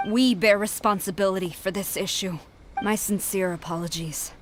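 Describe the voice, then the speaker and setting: A woman acting as a proud, stern female general in a video game. She speaks formally and with authority at a medium female pitch, over soft music. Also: fairly even intonation, normal speed, lightly acted. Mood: apologetic.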